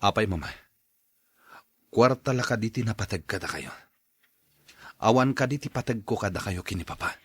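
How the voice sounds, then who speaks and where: A man's voice: fairly low pitch, expressive, moderate pace, pauses often, a bit bright, breathy edge, no echo. A man playing an unhappy young husband and father in an Ilocano radio drama, earnest and sorrowful. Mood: bitter.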